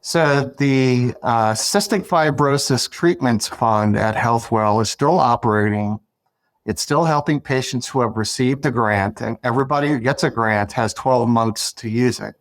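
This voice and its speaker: A man appearing as an interview guest, explaining things in a measured way with hesitant fillers. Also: medium pitch, dry recording. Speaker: guest, foundation executive. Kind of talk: podcast interview.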